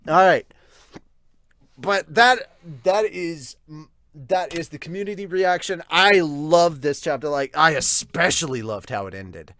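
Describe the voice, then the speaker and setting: An excitable, giggly, sweary man with hiccups, hosting a casual manga review and reading out viewer comments. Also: a little high, measured pace. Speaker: host of a manga review show.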